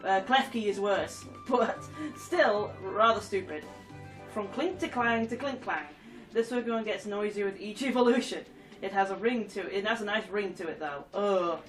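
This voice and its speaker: A woman's voice: mid-range voice, normal speed, fairly bright tone, distant mic, soft background music. A woman with a cold and a stuffy nose, chatting casually and giggling as she reads game trophy descriptions aloud. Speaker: gaming YouTuber.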